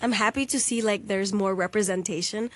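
A woman's voice: mid-range voice, fairly even intonation, brisk. Woman asking interview questions conversationally.

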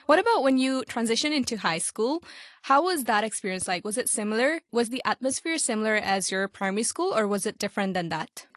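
A woman's voice: a little high, expressive, brisk, no echo, clean studio sound. A woman hosting an interview, asking guiding questions in a friendly way. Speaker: host. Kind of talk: hosted interview.

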